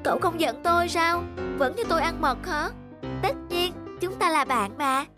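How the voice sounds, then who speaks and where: A woman voicing a young bear cub in a children's cartoon, childlike, expressive and earnest. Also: extremely high pitch, normal speed, over music. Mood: friendly. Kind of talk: Vietnamese children's cartoon dubbing.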